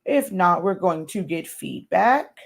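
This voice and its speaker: A hoarse woman hosts a casual livestream, talking loosely to viewers as they join. Her voice is worn and scratchy, her speech full of fillers and little laughs. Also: fairly low pitch, expressive, slow pace. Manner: warm greetings, frequent laughs and fillers. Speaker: host.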